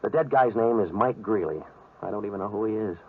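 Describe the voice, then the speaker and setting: Dull old radio sound carries a hard-boiled American noir troubleshooter. His voice is low and a little husky, with sardonic wisecracks delivered deadpan in 1940s radio drama style. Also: quick pace. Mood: impatient. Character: wry, hard-boiled male private troubleshooter, narrating his case.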